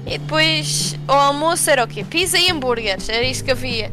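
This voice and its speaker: High-voiced young Portuguese woman over music. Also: lively intonation, brisk. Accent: European Portuguese. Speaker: interview guest. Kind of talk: casual conversational anecdotes.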